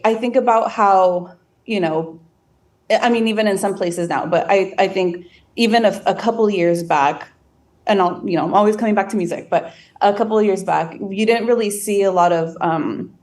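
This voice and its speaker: A woman's voice: medium pitch, moderate pace, a little mellow. A woman in a casual podcast discussion, speaking thoughtfully and hesitantly with many fillers. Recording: a bit distant from the mic.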